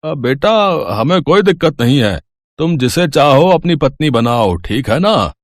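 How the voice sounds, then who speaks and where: A man voicing a domineering, crafty middle-aged village landlord and father in an animated moral story, forceful and bossy. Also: a little high, measured pace, no room sound. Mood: feigned cheer.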